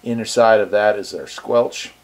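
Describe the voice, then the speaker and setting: A man's voice: lowish voice, fairly even intonation, normal speed, background noise. A man hosting a casual product walkthrough, easygoing and thinking aloud as he goes. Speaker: radio reviewer, channel host.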